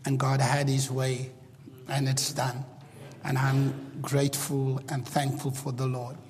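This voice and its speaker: A man whose first language is Afrikaans, preaching a sermon in English with halting restarts and rising emphasis. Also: mid-range voice, completely flat delivery. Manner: starts quiet and halting, builds to loud emphatic preaching. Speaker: pastor. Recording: long hall reverb.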